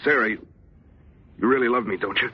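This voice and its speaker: An actor playing an uneasy, needy thief in 1940s radio drama style. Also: male voice, mid-range voice, a little fast, husky. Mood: needy, wistful. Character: shaken male thief, uneasy and needy with his lover. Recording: muffled noisy old radio recording.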